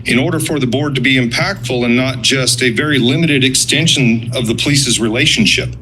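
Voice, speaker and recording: Bright-voiced, accusatory man at a public meeting. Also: mid-range voice, brisk, slight background noise, low-quality recording. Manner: accusatory, blunt, sarcastic, profane. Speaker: member of the public giving public comment. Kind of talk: confrontational public comment at a civic meeting.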